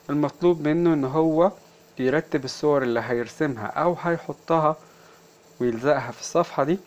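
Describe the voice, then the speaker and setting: A teacher with an Egyptian Arabic accent and a mid-range pitch walks through a children's workbook. The delivery is didactic, breaking English phrases into single words, repeating them and translating each into Arabic. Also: a little mellow.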